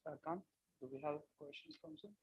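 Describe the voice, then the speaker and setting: A man's voice: fairly high pitch, brisk, husky, highs cut off. A man with a Turkish accent chairing a conference session and calling for questions.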